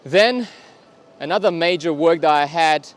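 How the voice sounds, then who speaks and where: Man, outdoors. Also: on the high side. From Kazakhstan. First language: Russian. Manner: deliberate, pausing instead of fillers. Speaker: vlogger. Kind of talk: casual talk to camera. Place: outdoors in a city street.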